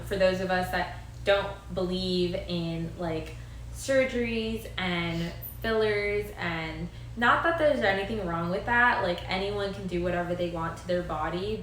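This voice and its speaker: A young woman hosting a live stream, chatting in an upbeat way with many fillers. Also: lowish voice. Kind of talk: casual live-stream chat. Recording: very echoey room, faint noise.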